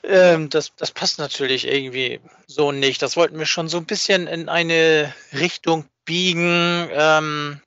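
Bright, higher-voiced man chatting haltingly. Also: fairly even intonation, clean tone, no echo, dull audio. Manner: halting, repeats words, seeks agreement with tags. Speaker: fan podcast co-host. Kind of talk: casual chat.

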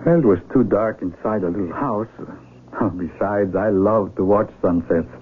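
A man's voice: lowish voice, normal speed, close to an everyday voice. An actor playing an amazed, earnest male traveler in a radio drama, speaking with emphatic wonder. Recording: lo-fi, noisy old radio broadcast.

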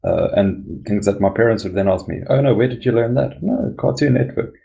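A man co-hosting a casual podcast, giving an opinion in a measured way. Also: medium pitch, gravelly, lo-fi sound.

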